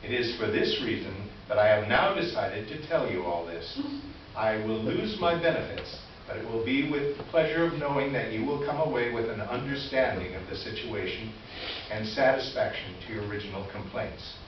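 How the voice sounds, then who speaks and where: A man's voice: lowish voice, lively intonation, normal speed, close to an everyday voice. Man playing an aggrieved employee, very echoey. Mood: earnest, aggrieved. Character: earnest, wronged store employee nearing retirement, confessing. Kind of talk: staged reader's theater, reading letters aloud. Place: indoor live stage presentation. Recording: echoey room, distant mic, muffled, noisy.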